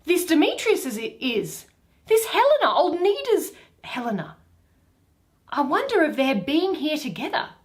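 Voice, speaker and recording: A woman's voice: high voice, wildly swooping pitch, unhurried, exaggerated voice. A woman voicing an earnest, puzzled old nobleman in emphatic Shakespearean verse. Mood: puzzled surprise.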